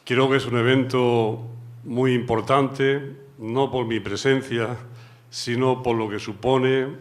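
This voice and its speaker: Slow, measured Spanish man lecturing. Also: mid-range voice, steady tone. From Spain. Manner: measured, courteous, with pauses. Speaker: guest lecturer, judge. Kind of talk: formal academic talk.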